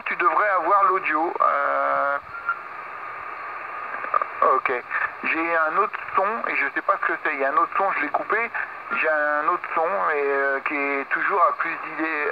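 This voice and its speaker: A French man talks from his home radio station in a relaxed, technical way. His voice sits a little high. The audio comes through muffled, with steady hiss underneath. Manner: easygoing, technical, with light laughs. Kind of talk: casual radio contact chat. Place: home radio shack with amp and 3D printer running.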